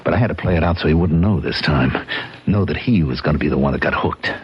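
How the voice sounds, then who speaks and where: A man's voice: a bass voice, fast, husky, lo-fi sound, heavily acted. An actor playing a bitter, scheming cheated husband who narrates his revenge in hard-boiled American slang in a radio drama. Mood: grim, calculating. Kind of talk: 1970s radio drama acting, first-person crime narration. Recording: vintage radio recording with music.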